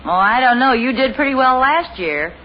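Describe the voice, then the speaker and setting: A dry, teasing sitcom wife. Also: female voice, high-pitched, lively intonation, moderate pace, muffled recording. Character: dry, teasing, level-headed housewife. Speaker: wife and mother in a radio sitcom. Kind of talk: 1950s radio sitcom acting. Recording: scratchy 1950 radio broadcast, studio audience, hiss.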